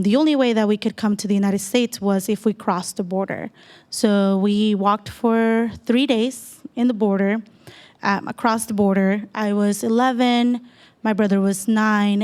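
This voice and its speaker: A woman's voice: mid-range voice, a little mellow. A woman in her twenties from El Salvador, a native Spanish speaker, tells an audience her life story in an earnest, reflective way with frequent ums. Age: 20s.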